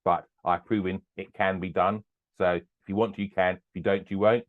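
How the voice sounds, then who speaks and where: An older man speaks earnestly as an interview guest, circling back over his own phrases as he goes. His voice sits very low. It comes through a thin, phone-like line. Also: fairly even intonation, a little fast. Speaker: podcast guest, business owner. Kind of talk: casual interview chat.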